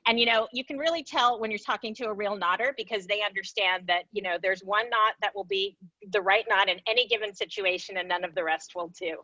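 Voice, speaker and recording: A woman's voice: medium pitch, fairly quick, pushed and bright, no echo, muffled recording. A woman artist on a video call, explaining her knot craft with enthusiasm and frequent laughs. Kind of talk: casual explanatory talk.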